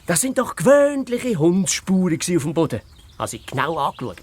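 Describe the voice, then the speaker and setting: A brash cartoonish fox detective for a children's radio play, with a Swiss accent. The pitch sits high and jumps around a lot. The delivery is theatrical, hurried and evasive. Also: male voice, big pitch swings, slight background noise. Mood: dismissive. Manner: hurried, flustered, dismissive, theatrical.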